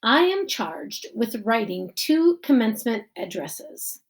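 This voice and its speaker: A woman voicing a middle-aged male school principal giving an earnest graduation speech in a children's novel, measured and emphatic with deliberate pauses. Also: medium pitch. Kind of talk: children's novel read-aloud.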